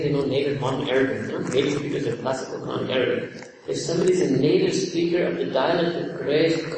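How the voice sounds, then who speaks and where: A man's voice: a little high, distant mic, noisy background. Man preaching in an echoey hall. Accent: non-native English. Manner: earnest, emphatic, repeats key phrases, recites Arabic verses. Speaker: Islamic preacher. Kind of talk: sermon. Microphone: distant from mic.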